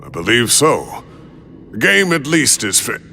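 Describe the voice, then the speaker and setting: Composed male fighter, game dub: stoic, measured, formal, gritty edge. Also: medium pitch, very animated, gravelly, bright, forceful tone, slight background noise, full character voice. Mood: quietly relieved. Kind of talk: dramatic English game dub acting. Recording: music under the dialogue.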